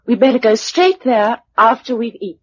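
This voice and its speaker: A plucky survivor with a fairly high, lively voice. She sounds earnest and well-spoken in old radio drama style. The sound is muffled, with a little noise. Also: normal speed. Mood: brisk, curious. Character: plucky, compassionate young woman surviving a disaster. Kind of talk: vintage radio drama acting.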